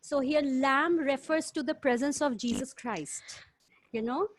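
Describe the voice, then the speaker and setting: A woman's voice: high-pitched, measured pace. A woman taking part in a group discussion, explaining informally in a teacherly way and checking with listeners. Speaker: discussion participant.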